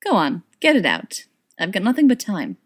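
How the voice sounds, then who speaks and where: A woman's voice: medium pitch, huge pitch swings, moderate pace. A woman voicing a friendly, chatty young man in a storybook reading, cheerful and coaxing. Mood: cheerful, easygoing.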